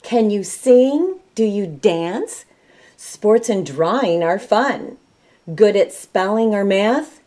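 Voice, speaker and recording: Woman, middle-aged, Midwestern US accent, children's read-aloud style, expressive, very varied pitch, slow delivery. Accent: American Midwestern. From Michigan, United States. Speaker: volunteer storybook reader. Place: small room at home, indoors.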